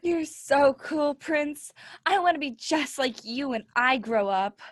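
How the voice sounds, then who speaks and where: A woman playing an eager young schoolgirl in a stage play, loud and whiny. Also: high voice, expressive, takes it slowly, dry recording, studio quality. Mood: admiring. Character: eager young girl Red, Sam's classmate.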